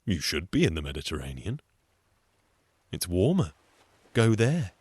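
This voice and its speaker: A man's voice: low voice, big pitch swings, unhurried, a little mellow, slightly rough, no echo. A man telling a comic folk tale in a theatrical, conversational way, with wry, sardonic asides. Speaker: storyteller, solo show performer.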